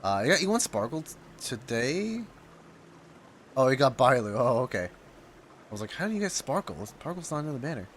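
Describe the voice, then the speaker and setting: Chatty, animated male game streamer. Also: mid-range voice, normal speed, slight background noise. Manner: chatty, informal, talks to viewers. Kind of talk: casual live reaction commentary.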